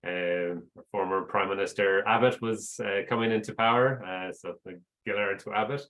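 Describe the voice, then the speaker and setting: A man in his forties with a very low voice answers interview questions over a video call. He talks casually and pauses often with uh and um. He has worked his way into investor relations. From outside Australia. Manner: relaxed, with many "uh" and "um" fillers. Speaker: investor relations head, guest.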